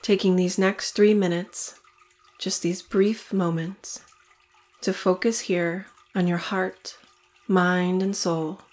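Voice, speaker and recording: A woman guides a short meditation in a calm, soothing voice. She speaks very slowly, leaving long pauses between phrases. Her pitch stays level and even throughout, never rising or falling much. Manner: slow, soothing, evenly paced with long pauses.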